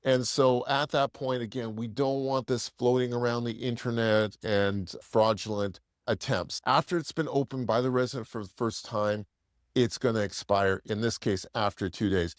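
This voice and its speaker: Man giving a casual software walkthrough, dry sound. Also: mid-range voice, steady tone, moderate pace, dry recording. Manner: plain-spoken, explanatory, conversational. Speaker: software demo presenter.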